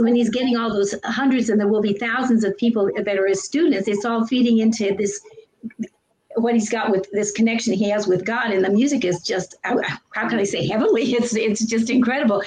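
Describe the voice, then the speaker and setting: Woman guest talking soft n gushy, a little hiss behind her. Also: medium pitch, low-quality recording. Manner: soft-spoken and gushing, with light laughs. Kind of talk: casual testimonial chat.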